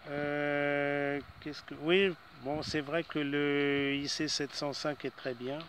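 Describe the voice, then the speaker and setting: A man's voice: on the high side, flat delivery, slow pace, fairly bright tone. A man chatting casually as an amateur radio operator, in hesitant phrases that often trail off, with some background noise.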